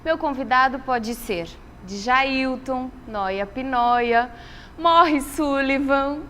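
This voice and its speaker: A woman hosts an interview show, asking her guest questions with enthusiasm. Her voice is high and her delivery slow and unhurried, with a little noise behind her. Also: fairly bright tone, in a large hall. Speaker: TV show host. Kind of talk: interview host.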